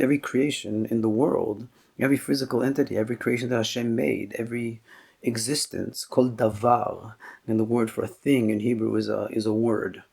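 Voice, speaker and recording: A man giving a Torah class as a rabbi, explaining slowly and thoughtfully with frequent pauses and restarts, and mixing Hebrew terms into his talk. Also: medium pitch, vocal fry, a little mellow, slightly rough.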